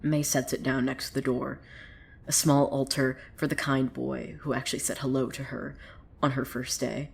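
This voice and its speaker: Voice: mid-range voice, normal speed, gravelly, lightly acted. A woman playing a wry, theatrical narrator of a surreal small town in a scripted audio drama, telling the tale dramatically. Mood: somber, hushed. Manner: theatrical, swinging from hushed to excited. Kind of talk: dramatic scripted storytelling monologue.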